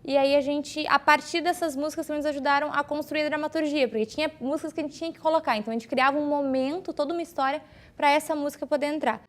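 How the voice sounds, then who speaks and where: Fast, high-voiced woman. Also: fairly even intonation. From Brazil. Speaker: stage actress. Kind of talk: interview about a theatre production.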